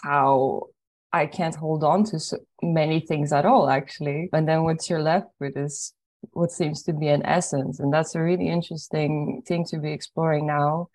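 A low-pitched woman from Poland and Ireland hosts a podcast interview. She speaks warmly encouraging words and breaks off mid-word to rephrase her questions. The recording is dry and close, with no room sound. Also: steady tone, moderate pace, dry recording. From born in Poland, raised in Ireland. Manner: encouraging, with hesitant restarts. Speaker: podcast host. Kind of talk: conversational interview.

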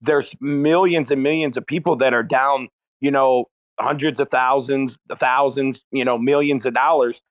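A man's voice: medium pitch, fairly even intonation, normal speed, no room sound, low-quality recording. A man in a casual crypto discussion, speaking bluntly with slang.

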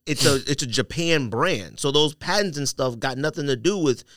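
Male podcast host, casual slangy storyteller who voices dialogue; highly animated, close dry sound. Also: moderate pace, dry recording.

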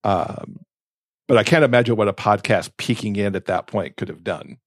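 A man's voice: fairly low pitch, moderate pace, no room sound, studio quality. An American man in his fifties from Alabama, chatting casually and reflectively as a podcast host. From Alabama, United States. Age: 50s.

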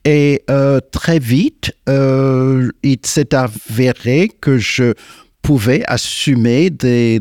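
An older American man, a singer and guest, answering in French in a slow, hesitant way with many fillers and restarts. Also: medium pitch, darkish tone, no room sound, studio quality. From United States. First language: English. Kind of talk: radio interview. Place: radio studio.

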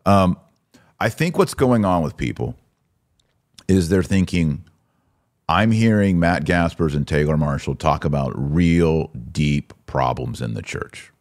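Low-voiced man, emphatic podcast host. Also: animated, a little slow, darkish tone. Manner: exhortatory, emphatic, rhetorical questions. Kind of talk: opinionated religious commentary.

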